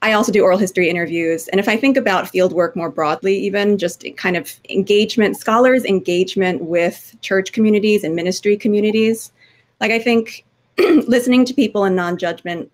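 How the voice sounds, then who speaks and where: A woman in her thirties with a scratchy, hoarse voice, speaking thoughtfully as a panelist on a video call. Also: mid-range voice, fairly even intonation, brisk. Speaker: panelist, oral historian. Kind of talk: conference panel remarks. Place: home office with bookshelves. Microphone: video call webcam.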